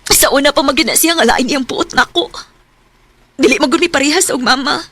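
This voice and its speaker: Heartbroken young female lead for radio drama, bright, high, emotional. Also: a little fast. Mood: hurt. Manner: plaintive, emotional. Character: heartbroken, betrayed young woman, emotional and earnest. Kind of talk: melodramatic radio drama acting.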